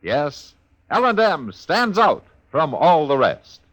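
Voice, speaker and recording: A man's voice: fairly high pitch, very animated, takes it slowly. A man announcing a 1950s radio commercial in a punchy, scripted and emphatic style.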